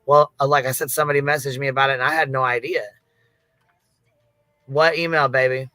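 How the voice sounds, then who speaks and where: Bright, flat-toned host, blunt and sweary. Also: male voice, medium pitch, clean tone, no echo. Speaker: host of an open-panel livestream. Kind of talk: casual livestream chat.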